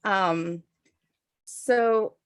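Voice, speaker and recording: A woman's voice: medium pitch, drawn-out words, smooth. A woman, giving a chatty online talk over a video call, with lots of fillers and self-deprecating asides. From Portugal. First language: Portuguese. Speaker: guest speaker. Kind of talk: online presentation.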